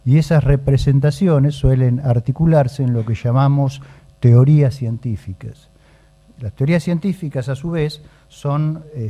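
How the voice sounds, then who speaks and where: A man with an Argentine Spanish accent giving a formal academic talk at a round table, methodical and measured, pausing often with "eh". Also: mid-range voice, mellow, dull audio. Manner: measured, methodical, frequent "eh" pauses.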